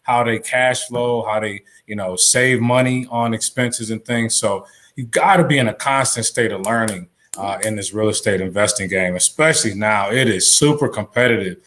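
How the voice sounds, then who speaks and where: A man as a guest on a live talk show, giving advice in a relaxed storytelling way, full of "you know.". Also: lowish voice, moderate pace. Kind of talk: casual advice chat.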